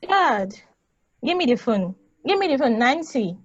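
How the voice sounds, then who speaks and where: A woman's voice: sits high, big pitch swings, measured pace, no echo, full character voice. A woman acts out a sentient space probe with a big-sister streak. She is blunt, casual and teasing, yet protective. The sound is dry and a little dull, like a modest home microphone. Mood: friendly, brisk. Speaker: actor in a table read. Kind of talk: play table read, conversational acting.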